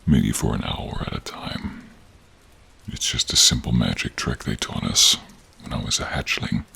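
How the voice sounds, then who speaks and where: Man voicing a husky, caring male dragon. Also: animated, measured pace, pushed and bright, full character voice. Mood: tender, weary. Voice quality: deep, husky, breathy. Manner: earnest, emotional, caring. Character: protective, tender male silver dragon in human form. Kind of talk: dramatic audio role-play acting.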